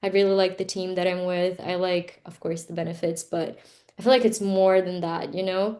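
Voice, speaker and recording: A woman's voice: mid-range voice, dark tone. A Brazilian woman in her twenties whose first language is Portuguese, speaking English in a casual interview, frank and reflective, with many "like"s and "you know"s. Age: 20s. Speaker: interview guest.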